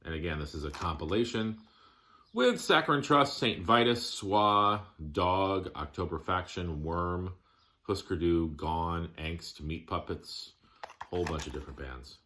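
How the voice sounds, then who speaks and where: A man giving a casual show-and-tell of his collection, plainspoken, listing items in short, halting phrases. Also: low voice, animated, pushed and bright.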